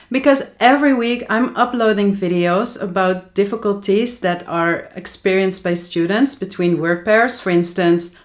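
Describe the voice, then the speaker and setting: A Dutch woman teaching her native language in English, with a Dutch accent, and she says example sentences slowly and carefully. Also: mid-range voice. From Netherlands. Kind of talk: language lesson to camera.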